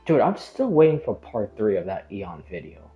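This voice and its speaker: A man's voice: on the low side, big pitch swings, moderate pace, lo-fi sound. A man chatting casually as a game streamer, rambling and hesitant, often trailing off and drifting onto tangents. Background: background music.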